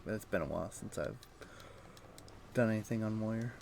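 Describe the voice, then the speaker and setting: A man streaming a video game, thinking aloud in a low-key, conversational way with casual commentary. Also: a little fast, gravelly, darkish tone, slight background noise. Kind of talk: casual live gameplay commentary and chat.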